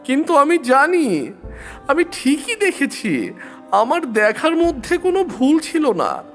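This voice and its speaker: Casting: a woman voicing an insistent village lad, high and slow-spoken, earnest and dramatic, for horror radio play. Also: big pitch swings, faint music behind, full character voice. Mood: urgent, pleading. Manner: pleading, deliberate, dramatic.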